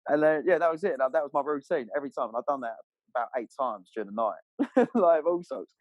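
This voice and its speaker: A man's voice: a little high, quick pace, no room sound, highs cut off. A man telling stories casually as a podcast guest, in a matey, slangy way with plenty of swearing. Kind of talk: casual animated storytelling.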